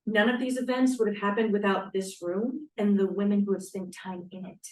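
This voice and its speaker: A woman's voice: mid-range voice, moderate pace, close to an everyday voice. A woman acting a blunt, emotional young feminist in a script read-through, dramatic and earnest. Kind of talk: table read of a play script. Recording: echoey room, distant microphone.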